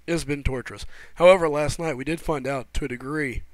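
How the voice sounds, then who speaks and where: A man's voice: on the high side, fairly quick, no echo. A man hosting a live game stream, chatting casually and reading viewers' messages aloud, his throat sore from a cold. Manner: laid-back, greets viewers by name as buddy and brother. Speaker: livestream host.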